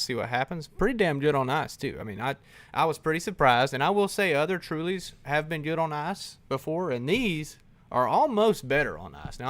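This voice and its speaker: A man's voice: mid-range voice, no room sound. Man, casual podcast co-host, laid-back rambling talk, dry close sound. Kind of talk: casual chat.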